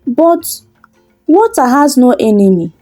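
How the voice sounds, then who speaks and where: Woman doing a hopeful determined orphan girl, earnest, high voice, folktale. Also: very animated, slow, mellow, no echo, soft background music, exaggerated voice. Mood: hurt.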